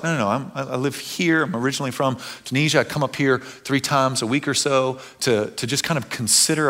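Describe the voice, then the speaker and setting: American male preacher, middle-aged, bright forceful voice, mid pitch, informal storytelling full of quoted dialogue and repeated words. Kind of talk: storytelling sermon to an audience.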